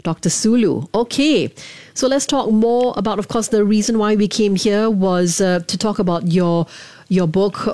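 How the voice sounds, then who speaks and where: A woman's voice: mid-range voice, warm tone, no room sound. A woman hosting a radio interview, reading a prepared introduction and then asking questions more loosely with some fillers. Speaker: radio host.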